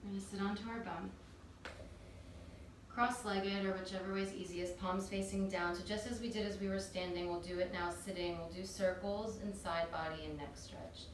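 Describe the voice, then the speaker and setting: A woman teaching a yoga class, calmly guiding breath and movement step by step. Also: medium pitch, normal speed. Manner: calm, gentle cueing of breath and movement. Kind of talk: guided yoga instruction. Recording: very echoey room, distant mic, faint noise.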